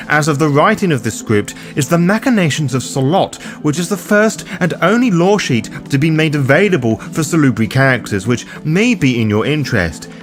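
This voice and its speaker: A man narrating a scripted guide as its host, with a theatrical, deliberate delivery and dry, irreverent asides. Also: a little high. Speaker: host of a roleplay guide show.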